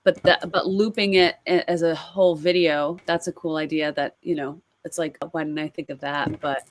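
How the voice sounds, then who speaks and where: A woman's voice: low voice, steady tone, normal speed, no room sound. A woman co-hosting a podcast, chatting casually and in a friendly way as she introduces videos, laughing easily. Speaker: podcast co-host. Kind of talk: casual chat reacting to videos.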